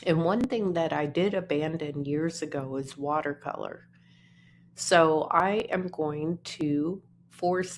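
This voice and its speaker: A woman, musing unhurriedly in a casual vlog from her apartment. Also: slight background noise. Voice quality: naturally deep voice. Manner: unhurried, musing, trailing off between phrases. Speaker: artist vlogger. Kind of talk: casual talking-to-camera vlog.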